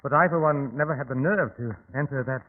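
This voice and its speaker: An actor playing a polite, anxious gentleman of a manor, formal and hesitant, in an old-time radio drama. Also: medium pitch, a little fast, lightly acted. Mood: anxious, earnest. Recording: tinny, phone-like old radio recording.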